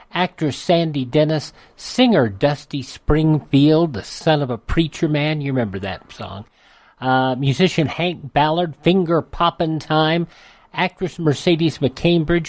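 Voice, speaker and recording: A man with an American accent hosting a radio show, reading an on-this-day almanac with chatty asides and drawn-out names. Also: a little high, no echo. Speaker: radio host. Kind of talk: scripted radio almanac narration. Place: radio studio.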